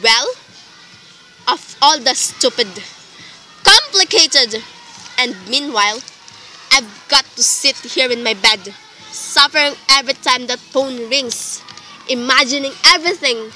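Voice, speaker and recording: A woman's voice: high voice, expressive, bright, forceful tone, faint music behind, exaggerated voice, poor audio. A woman playing a nervous, demanding invalid wife in a radio play, with indignant, melodramatic delivery. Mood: furious, frantic. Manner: melodramatic, indignant, slow deliberate emphasis. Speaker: student actor in a radio play.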